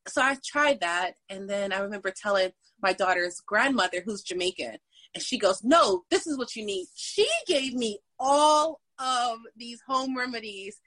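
A woman fitness instructor telling a story in casual chat, animated and laughing often. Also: mid-range voice, no echo, poor audio.

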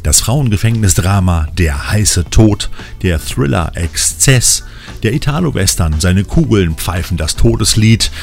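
A man's voice: low-pitched, animated, unhurried, creaky, darkish tone. A man hosting a magazine show, reading scripted narration. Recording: music bed behind the voice. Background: music.

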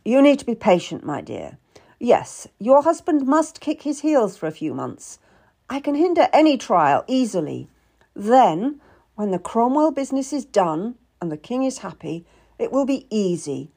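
A woman's voice: a little high, very animated, unhurried, vocal fry, warm tone, breathy edge, voice pushed up, heavily acted. Woman putting on a curt, haughty Tudor nobleman. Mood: persuasive, calculating. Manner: brusque, condescending. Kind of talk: dramatised audiobook character.

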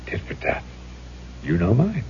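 An actor playing a courtly, mysterious gentleman in his forties in a 1970s radio drama, with old-fashioned, theatrical phrasing. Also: mid-range voice, wildly swooping pitch, measured pace, breathy edge, full character voice. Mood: smooth, teasing. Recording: muffled, noisy 1970s radio recording with hum.

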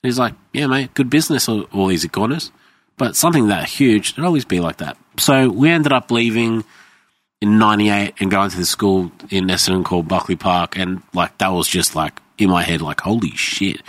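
A man's voice: low voice, moderate pace, a bit bright, dry recording. A man, telling rambling, swearing anecdotes in casual chat and acting out what people said.

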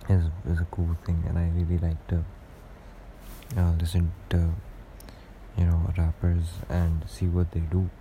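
A young man with a deep voice, rambling through a casual solo podcast monologue with lots of hesitations. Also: warm tone, slight background noise, low-quality recording. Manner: rambling and hesitant, many fillers and restarts. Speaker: podcast host.

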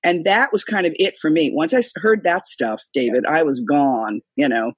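Older woman, husky voice, dry close sound, chatty rambling interview guest. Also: deep voice, normal speed, no room sound. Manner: chatty, rambling storyteller with many fillers. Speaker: singer and guest. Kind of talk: casual interview conversation. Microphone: remote call, computer.

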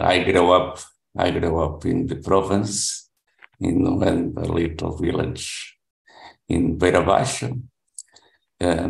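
A deep-voiced man in his seventies speaks English with a Portuguese accent, slowly and haltingly, restarting his sentences again and again. His voice is gravelly, and the room lends it a noticeable echo. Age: 70s. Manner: halting, with many restarts and fillers. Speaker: language student. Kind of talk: classroom self-introduction. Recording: roomy echo.

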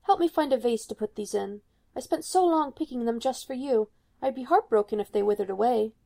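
A woman's voice: fairly high pitch, brisk, gravelly, mellow. A woman voicing a controlling mother who talks down sweetly. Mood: sweetly scolding. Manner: condescending, falsely sweet. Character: sugary controlling mother, middle-aged woman.

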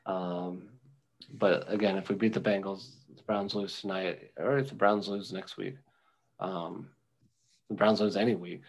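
A young man co-hosting a podcast, chatting casually about football in a rambling, halting way with many ums and restarts. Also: low voice, fairly even intonation, rough recording. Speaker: podcast co-host. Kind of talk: casual sports chat.

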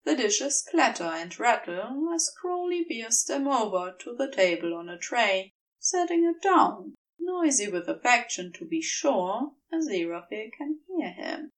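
A woman narrates fiction with a fairly high voice and very expressive, swinging intonation. She takes her time over the phrases in a gentle storytelling manner, recorded slightly away from the mic. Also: measured pace, few pauses, far from the mic, rough recording. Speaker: podfic narrator.

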